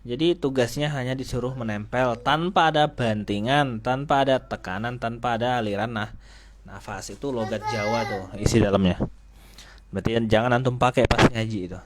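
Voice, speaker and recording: A male Quran teacher explains how to shape each letter, demonstrating the sounds slowly and repeating them for practice. His voice sits at an ordinary middle pitch and his manner is relaxed, even joking. A faint hiss runs under the recording. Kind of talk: tajwid lesson explaining letter articulation.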